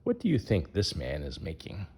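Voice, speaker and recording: A man's voice: deep voice, huge pitch swings, moderate pace, dark tone, husky. A man reading a rhyming children's picture book aloud in a sing-song way, putting questions to young listeners.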